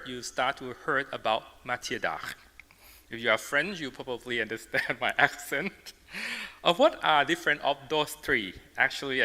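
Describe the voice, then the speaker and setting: Bright-voiced Thai man lecturing in a hall. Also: medium pitch. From Thailand. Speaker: artist and designer giving a symposium lecture. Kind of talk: public presentation in non-native English. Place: large indoor symposium hall. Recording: reverberant hall, amplified talk.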